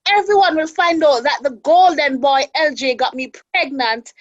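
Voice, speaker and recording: Actress as very high-voiced fiery Caribbean wife. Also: female voice, moderate pace, dry recording, muffled recording, lightly acted. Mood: bitter, accusing. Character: fiery, bitter wronged wife with hot temper. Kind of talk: radio drama acting.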